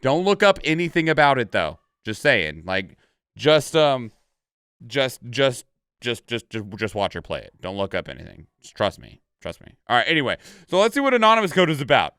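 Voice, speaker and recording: A man's voice: mid-range voice, very animated, normal speed, a bit bright, clean tone, no room sound, studio quality. A man hosting a reaction video, commenting casually on game trailers and often repeating words for emphasis.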